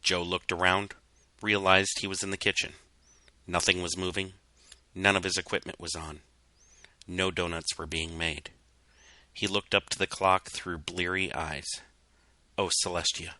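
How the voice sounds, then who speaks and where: A man with a low, very bright and forceful voice narrates a story. His delivery is solemn, measured and theatrical. The sound is close and dry. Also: little pitch movement, dry recording. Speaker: fan fiction reader. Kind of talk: dramatic scripted story narration.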